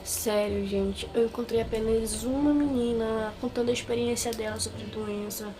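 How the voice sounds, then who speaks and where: A woman's voice: on the high side, fairly even intonation, normal speed, background noise. A young woman from Brazil with a Brazilian Portuguese accent, telling a personal story to her viewers in a chatty, rambling way full of fillers. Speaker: vlogger. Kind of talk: casual personal storytelling to camera. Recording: clear background music under the voice.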